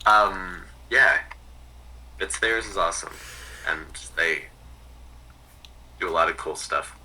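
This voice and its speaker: Distant, very bright-voiced man chatting tentatively. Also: low voice, slow. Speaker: podcast guest, hobbyist archivist. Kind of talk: casual chat.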